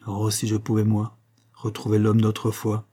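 A man's voice: on the low side, monotone, normal speed, mellow, close to an everyday voice. A man voicing an anguished, defiant wife and mother in an audiobook, earnest and pleading. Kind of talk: dramatic audiobook dialogue.

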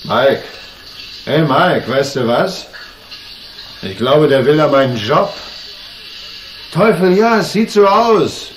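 A man's voice: on the high side, lively intonation, takes it slowly, pauses often, reverberant, a little hiss or hum, poor audio. A male actor playing a drunken, belligerent bodyguard in a radio crime drama, taunting and bullying. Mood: drunken menace. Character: drunken, belligerent bodyguard thug, menacing and mocking.

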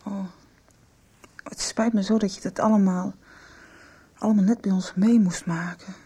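An actress playing a gentle, forgiving injured girl who speaks hesitantly, in a 1990s radio soap. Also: medium pitch, steady tone, measured pace, slightly rough. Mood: shy, tender. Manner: hesitant, caring.

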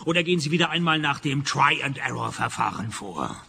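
A man acting a sardonic private detective, narrating a comic noir radio play with deadpan, dry sarcasm. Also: medium pitch, fairly quick, creaky, slight background noise. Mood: sarcastic.

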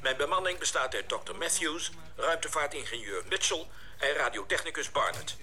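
An actor playing a calm, courteous spaceship captain in a radio play. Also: male voice, a little high, moderate pace. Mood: composed, formal.

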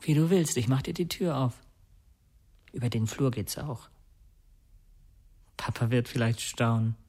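A man's voice: mid-range voice, a little slow, darkish tone, lightly acted. A young man playing an earnest, attentive and dreamy teenage son in a radio drama. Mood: casual, gentle. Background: music.

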